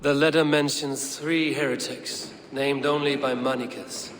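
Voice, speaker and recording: A man voices a grim Viking raider for a video game. He is shrewd and terse, weighing things in measured phrases at a medium pitch. There is clear ambient noise behind him. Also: steady tone, fairly bright tone, lightly acted. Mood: grim, analytical.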